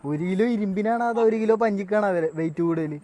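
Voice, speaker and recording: A man's voice: high voice, fast talker, warm tone. A man with a Kerala Malayalam accent in casual phone banter, teasing and exasperatedly repeating his questions. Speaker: prank-style phone caller posing a riddle.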